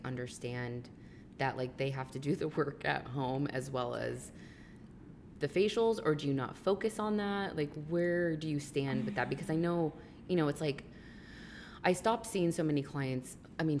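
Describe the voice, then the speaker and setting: Chatty 30s woman podcast host, really low voice, bit of background noise. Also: darkish tone. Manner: chatty, informal, filler-heavy with frequent "like". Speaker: podcast host interviewing a guest. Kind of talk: casual chat interview.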